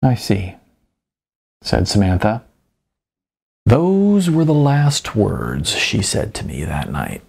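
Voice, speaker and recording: Guy reading an audiobook, kinda mellow and raspy, first person story. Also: lowish voice, sing-song, slow, long pauses. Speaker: author reading own audiobook.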